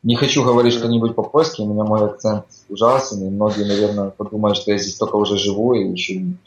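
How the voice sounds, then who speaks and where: A man's voice: lowish voice, fast, slight background noise. A man chatting casually as a guest in an interview, explaining at length with lots of fillers. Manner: relaxed, explanatory, with frequent fillers. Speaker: guest living abroad. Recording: echoey dull remote audio.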